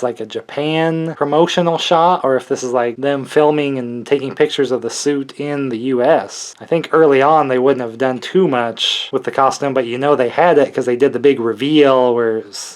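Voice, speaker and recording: A man around his thirties or forties giving casual, chatty commentary as he hosts a collector's unboxing video, with wry, joking asides. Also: mid-range voice.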